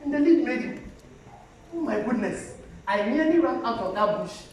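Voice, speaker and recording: An actor playing a pompous, excitable, food-loving boss on stage, declaiming in loud exclamations. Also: mid-range voice, normal speed, a little hiss or hum, lightly acted. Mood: scornful, excited. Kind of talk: loud theatrical stage acting. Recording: very echoey stage hall, distant mic.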